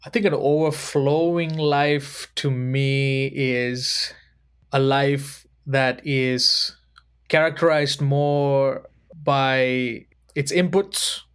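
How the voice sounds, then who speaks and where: A man in his thirties from Sri Lanka, a podcast guest who speaks in a measured, thoughtful way and slows down to choose his words. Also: mid-range voice, fairly even intonation, a bit bright, clear, resonant tone. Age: 30s. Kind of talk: reflective conversation.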